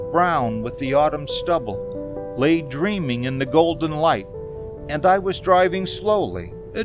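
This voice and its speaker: An actor playing an uneasy, haunted motorist who tells his story in a hesitant, deliberate radio drama style. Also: on the high side. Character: uneasy, haunted male motorist recounting a strange journey. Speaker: narrator and lead actor. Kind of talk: radio drama monologue, old-time radio acting.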